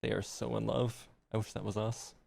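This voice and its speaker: A man's voice: on the low side, flat delivery, normal speed, vocal fry, a little mellow, breathy edge. A man co-reading fan fiction on a stream, narrating with casual asides. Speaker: co-reader on a stream.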